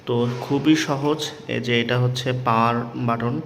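A man's voice: mid-range voice, fairly even intonation, measured pace, heavy background noise. A man with a Bangladeshi accent giving a casual, unscripted product demonstration as a seller, explaining repetitively and often checking that he is understood. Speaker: electronics seller presenting a product tutorial.

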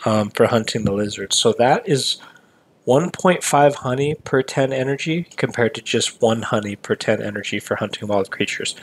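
A man talks through a mobile game event over a screen recording, his voice a little raspy. He has a relaxed, conversational delivery, pausing often with ums as he explains each step. His pitch sits in the middle range for a man. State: slightly raspy voice. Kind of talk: casual game walkthrough commentary.

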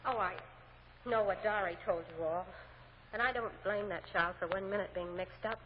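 Actress as plaintive witness in old radio drama. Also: female voice, medium pitch, moderate pace, close to an everyday voice. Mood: indignant. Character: aggrieved, self-pitying mother testifying as witness. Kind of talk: 1950s radio drama acting. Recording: muffled, noisy old radio recording, reverberant.

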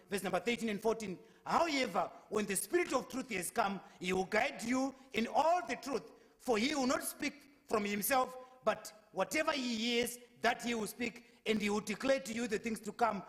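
A man's voice: sits high, a bit bright. A young man speaking as a preacher in a public debate, earnestly quoting scripture at length from a lectern microphone in a lecture hall. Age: young adult. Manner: earnest, quotes verses at length, repeats words when restarting. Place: lecture hall, at a lectern before a brick wall.

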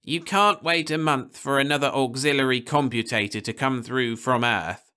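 A man reading aloud, voicing a hasty, excitable old male ex-drunk with a high, fidgety voice who begs to prove himself. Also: moderate pace, close to an everyday voice. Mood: eager pleading. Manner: hasty and pleading. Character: jittery old male ex-drunk computing whiz.

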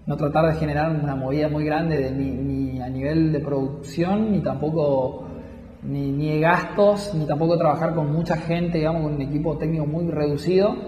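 A man's voice: fairly high pitch, fairly even intonation, normal speed, dark tone, echoey room, in a large hall, highs cut off, a little hiss or hum. A young man, a filmmaker, talking about his work in an interview with many restarts and fillers. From Misiones, Argentina. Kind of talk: interview talk.

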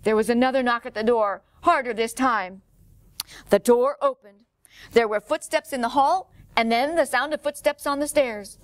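A middle-aged woman storyteller reading a picture book aloud to children in an expressive way. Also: fairly high pitch, normal speed, no echo. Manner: expressive, clearly paced for children. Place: indoor room, standing before a painted wall.

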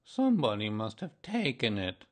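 A man voicing a gloomy, self-pitying male donkey in a children's storybook, with a mournful, drawn-out, resigned delivery. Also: on the low side, huge pitch swings. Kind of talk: children's storybook character reading.

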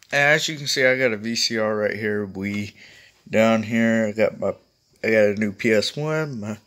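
A man chatting casually and haltingly as he films a home vlog. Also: mid-range voice, creaky, a bit bright. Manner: halting, informal. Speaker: video creator showing a weather radio collection. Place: small room at home, indoors. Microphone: handheld phone or camera held close.

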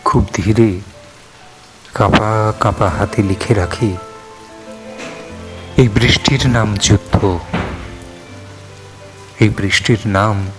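A man with a fairly low voice recites poetry in a solemn, mournful style. His delivery is very slow and dramatic, leaving long pauses between phrases. Faint music and the sound of rain run underneath. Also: fairly even intonation. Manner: sorrowful, solemn, with lingering pauses and repeated phrases. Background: music and falling rain.

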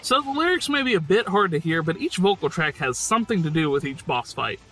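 A man's voice: a little high, sing-song, fairly quick, poor audio. A man giving enthusiastic scripted video game commentary in a jokey, emphatic way.

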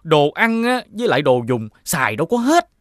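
An actor playing a greedy, boastful and suspicious rich elder brother in an animated fairy tale, with a condescending manner. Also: male voice, on the high side, brisk, dry recording, clean studio sound. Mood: smug, expansive. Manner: condescending, expansive.

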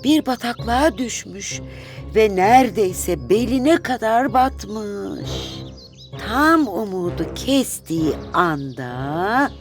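A woman tells a children's fairy tale at an unhurried pace. Her intonation rises and falls in a lively, expressive way, with prominent music playing behind her. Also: medium pitch. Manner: expressive, deliberate. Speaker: storyteller, narrator.

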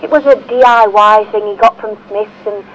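A woman's voice: mid-range voice, steady tone, moderate pace, natural voice, low-quality recording. An actress playing an earnest woman phoning a podcast for help in a scripted audio drama. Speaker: phone-in caller.

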